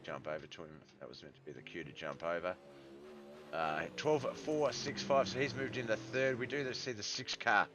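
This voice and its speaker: An Australian man doing relaxed play-by-play commentary on a live-stream sim race, reading out lap times, with a hoarse, congested voice. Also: on the low side, moderate pace, dull audio, noisy background. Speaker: sim racing league commentator.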